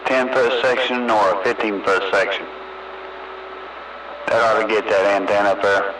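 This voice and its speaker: A man's voice: lowish voice, fairly even intonation, moderate pace, fairly bright tone. Man on a noisy CB radio. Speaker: CB radio operator. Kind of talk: casual CB radio chat.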